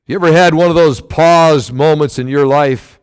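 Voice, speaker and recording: A man preaching a sermon as pastor, loud and emphatic, with sudden swells and drops in his delivery. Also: on the high side, normal speed, clean tone.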